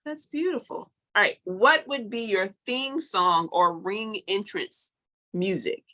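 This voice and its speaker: A woman's voice: mid-range voice, slow, pushed and bright. A woman hosting a casual interview, putting short questions to a guest and acknowledging the answers. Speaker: interview host.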